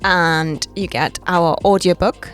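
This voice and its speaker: A woman's voice: on the low side, steady tone, takes it slowly. Woman co-hosting, music underneath. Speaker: podcast co-host, executive producer. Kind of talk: casual podcast chat.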